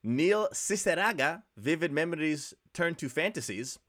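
A man co-hosting a podcast, casually riffing on movie trivia in a joking, animated way with some swearing. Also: fairly high pitch, moderate pace. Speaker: podcast co-host.

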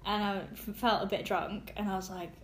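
A woman's voice: medium pitch, level tone, a little fast, a bit bright. A woman, chatting casually as a podcast co-host, swearing freely and laughing often. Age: 20s. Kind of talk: casual chat among friends. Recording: roomy room with faint background noise.

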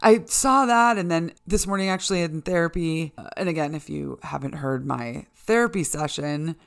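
American woman, kinda low voice, solo podcast rambling, candid and casual, swears a bit. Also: normal speed, gravelly. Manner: candid, informal, mildly sweary. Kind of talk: casual conversational monologue to listeners.

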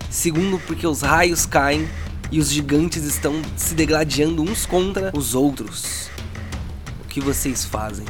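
Male game master, dramatic descriptive narration, slightly high voice, clear music underneath. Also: a little slow. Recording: with music bed.

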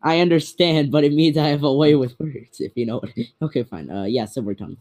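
A man's voice: a little high, a little fast, darkish tone. A young man chatting casually with friends, playful and boastful, teasing the others.

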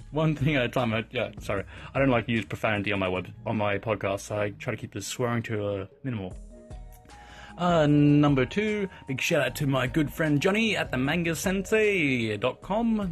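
A man, hosting a casual solo podcast in a chatty, upbeat way with lots of shout-outs and asides. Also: mid-range voice. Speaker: podcast host.

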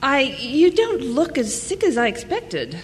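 Lively high-voiced wife, long reverb. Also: female voice, unhurried, exaggerated voice. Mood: guarded, wry. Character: visiting estranged wife, older mother, candid and wry. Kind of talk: dramatic audio play dialogue.

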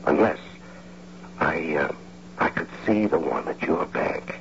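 An actor playing a scheming, smooth-talking husband in an old-time radio drama, with a coaxing manner. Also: male voice, a bass voice, animated, slow, slight background noise, heavily acted. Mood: hesitant coaxing. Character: scheming smooth-talking husband, engineer turned house-husband bank robber. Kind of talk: old-time radio drama acting with first-person narration. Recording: dull, humming old radio recording.

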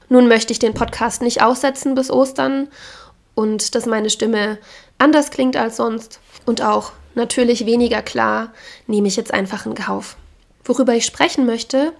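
A hoarse woman with a cold. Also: a little high, darkish tone. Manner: frank, opinionated. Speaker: podcast host. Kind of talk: casual solo podcast talk.

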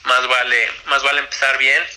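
A man's voice: medium pitch, flat delivery, moderate pace, bright, forceful tone, poor audio. A young man talks casually as an interview guest about college tennis, with many fillers and restarts.

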